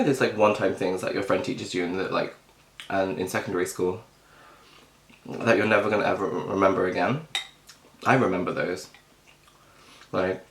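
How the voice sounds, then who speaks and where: A young British man chatting casually and self-deprecatingly to camera, clearing his throat now and then. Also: lowish voice, echoey room. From Britain. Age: young adult. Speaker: vlogger. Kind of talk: casual mukbang chat.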